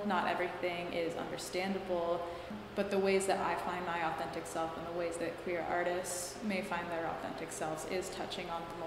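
A young woman artist speaking in a thoughtful, academic, unhurried way in an interview, recorded in a gallery. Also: on the low side. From United States. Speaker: artist interviewed about her show. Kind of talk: reflective interview answers. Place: gallery with hard walls. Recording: echoey gallery room, noisy.

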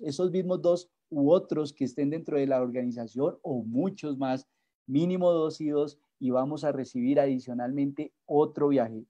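A man's voice: fairly high pitch, warm tone. A man giving an online sales presentation over a video call, persuasive and full of rhetorical questions.

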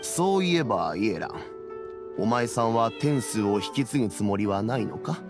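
An actor playing a shrewd, dignified elderly man in an anime game, gravelly, measured and grave. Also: on the low side, lively intonation. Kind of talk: Japanese anime game voice acting. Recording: music behind the voice.

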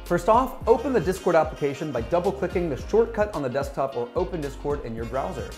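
Upbeat higher-voiced man presenting a tutorial. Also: very animated, fast, a little mellow. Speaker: software review presenter. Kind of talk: scripted tutorial narration. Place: small bright office, indoors. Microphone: lapel mic. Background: background music.

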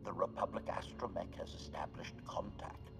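A man's voice: sits low, normal speed, whispered, music in the background. A man voicing a blunt, menacing assassin droid in a video game, with clipped announcements and a robotic filter. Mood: coldly reporting. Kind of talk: video game character acting.

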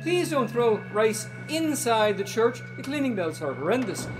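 A man's voice: very high-pitched, sing-song, normal speed, music in the background. Man acting dry, weary priest, swooping intonation. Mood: deadpan, solemn. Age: middle-aged. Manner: dry, resigned, solemn. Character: weary, deadpan male parish priest. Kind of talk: improvised soap-opera acting.

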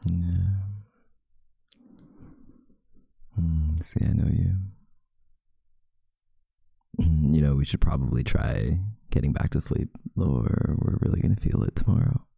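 A man playing a sleepy, teasing, affectionate boyfriend in an intimate ASMR roleplay, murmuring drowsily with husky breath and hesitant pauses, close to the mic. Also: extremely low pitch, mellow, no echo, exaggerated voice. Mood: drowsy, teasing. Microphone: close mic, dry.

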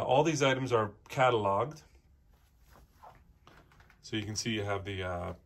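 A man gives an unscripted walkthrough as a presenter, pointing things out in short, halting phrases as he moves around indoors. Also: medium pitch, fairly bright tone. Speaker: auction house presenter. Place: indoor antiques showroom, walking around.

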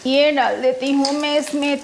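A woman's voice: high-pitched, fairly even intonation, moderate pace, vocal fry, noisy background. A woman narrating her own cooking video step by step, chatty and homely, explaining each step as she cooks in her home kitchen. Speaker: cooking vlogger.

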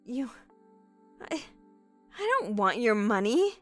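An actress playing a bitter, defiant survivor of a killer in an audio horror drama, curt, guarded and sarcastic. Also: female voice, a little high, very animated, takes it slowly, fairly bright tone, soft background music, exaggerated voice.

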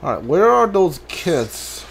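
A man's voice: a little high, big pitch swings, slow pace, vocal fry, a little hiss or hum, low-quality recording. A man giving casual commentary on a game he plays, often muttering questions and asides to himself. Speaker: gamer streaming a blind playthrough. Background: game music.

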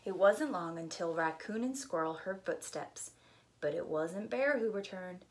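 A middle-aged woman reading a picture book aloud as a preschool teacher, deliberate and expressive. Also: on the low side, slight background noise. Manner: slow, deliberate, animated storyteller delivery. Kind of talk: expressive storytime read-aloud. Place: small indoor room with bookshelf.

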